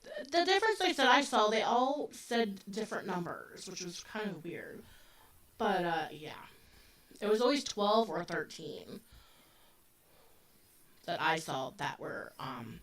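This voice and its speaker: A woman's voice: mid-range voice, big pitch swings, unhurried, long pauses, a bit bright. A woman in her forties co-hosting a casual true-crime chat, talking loosely with lots of fillers. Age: 40s. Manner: loose and conversational, with frequent fillers. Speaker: podcast co-host. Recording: voice a bit distant from the mic.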